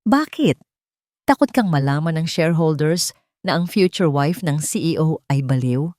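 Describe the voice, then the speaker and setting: A woman's voice: deep voice, lively intonation, a little mellow, dry recording, clean studio sound. A woman voicing a menacing, scheming rich aunt in a dramatic audio love story, taunting and theatrical. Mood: cruel taunting. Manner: mocking, taunting, slow theatrical delivery.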